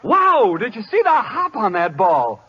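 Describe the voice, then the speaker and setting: Chattery slangy ballplayer, super high sharp voice, old radio. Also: male voice, huge pitch swings, unhurried, slightly rough, exaggerated voice. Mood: boisterous. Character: chattering, boisterous male college baseball catcher, slangy. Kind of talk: 1940s radio acting. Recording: dull old radio broadcast.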